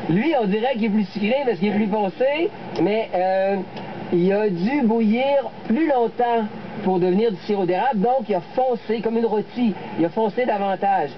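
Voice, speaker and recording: A man's voice: high voice, normal speed, noisy background. A man with a Quebec French accent explaining things casually as an outdoor project organizer. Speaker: filmmaker, urban sugar bush organizer. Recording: dull sound, loud music behind.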